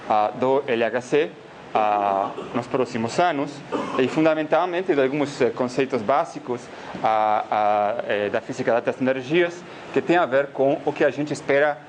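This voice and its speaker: A man with an Argentine accent gives a physics lecture in a hall. He hesitates often and keeps filling pauses with little sounds. His voice sits mid-pitch, and a clear hiss runs under the recording. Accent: Argentine Spanish. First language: Spanish. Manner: hesitant, many filler sounds. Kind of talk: academic colloquium talk.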